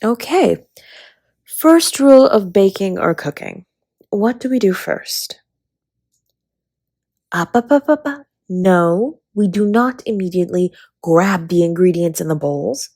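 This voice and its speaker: A woman playing a flirty, affectionate dragon baker spouse in a romantic roleplay audio, with a breathy, husky, teasing delivery. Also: medium pitch, expressive, slow, a little mellow, dry recording, studio quality. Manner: teasing, intimate, often slipping into breathy whispers.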